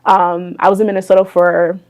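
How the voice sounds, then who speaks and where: A young woman telling her life story in a relaxed interview, talking softly with frequent ums and small laughs. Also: fairly low pitch, fairly quick. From born in Ghana, raised in the US. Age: young adult. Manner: soft-spoken, many ums and little laughs. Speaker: guest. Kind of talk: casual interview.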